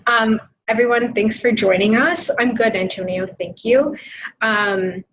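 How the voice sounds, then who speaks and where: A woman's voice: a little high, fairly even intonation, unhurried, fairly bright tone. Canadian woman, noisy dull connection. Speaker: panelist, articling student. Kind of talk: self-introduction on a webinar. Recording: dull webinar audio, clear background noise.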